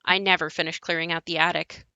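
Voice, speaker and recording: Bright-voiced wry young woman played by an actress. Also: on the low side, little pitch movement, fast, clear, resonant tone, no room sound. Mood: wry, reflective. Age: young adult. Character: wry young woman recounting her haunted house. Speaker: narrator character in an audio play. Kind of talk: scripted dramatic monologue.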